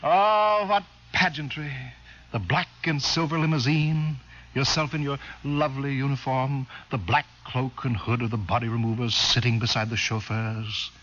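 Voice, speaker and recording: Man as a bright, fussy, gushing ceremonial official. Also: mid-range voice, a little hiss or hum, close to an everyday voice. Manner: effusive, officious, prodding. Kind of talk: 1950s radio drama acting. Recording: muffled vintage radio recording with music.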